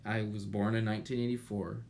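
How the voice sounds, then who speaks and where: A man in his thirties giving an explanatory lecture as an instructor, deliberate and pausing, with rhetorical questions. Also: on the low side. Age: 30s. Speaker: chemistry instructor. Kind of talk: explanatory lecture over slides. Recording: noticeable steady background noise.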